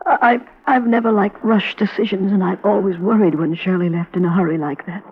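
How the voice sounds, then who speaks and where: A grieving mother in a fifties radio drama. Her husky voice is anxious and halting, fretting over her words. The vintage recording is very thin and narrow, like a telephone. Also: medium pitch, steady tone. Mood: worried, sorrowful. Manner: halting, fretful, repeats words. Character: anxious, grieving parent of an adult daughter. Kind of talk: 1950s American radio crime drama acting.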